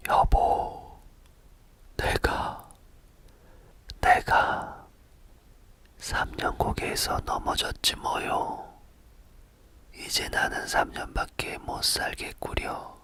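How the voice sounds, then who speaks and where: A man's voice: extremely slow, pauses often, bright, forceful tone. A man whispering the lines of a frightened old village scholar in a folk tale. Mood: wailing.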